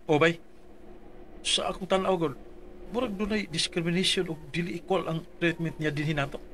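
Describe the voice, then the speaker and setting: A man's voice: on the high side, fairly even intonation, moderate pace, background noise. A man reading out his dramatized complaint letter for a radio advice show, earnest and pleading.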